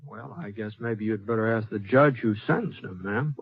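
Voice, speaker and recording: An actor with an American accent playing an easygoing, courteous cowboy gunfighter in a radio western, with a folksy, hesitant, stammering delivery. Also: male voice, fairly low pitch, moderate pace, husky. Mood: calm, gentle. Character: easygoing, soft-hearted drifting cowboy gunfighter, courteous to ladies. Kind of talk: old-time radio western drama acting. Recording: muffled, thin vintage radio recording.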